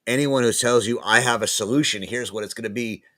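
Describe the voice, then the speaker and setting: A man, a guest professor, talking casually in an interview, pausing and repeating words as he thinks aloud. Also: mid-range voice, fairly bright tone, no room sound. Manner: hesitant, many restarts and repeated words.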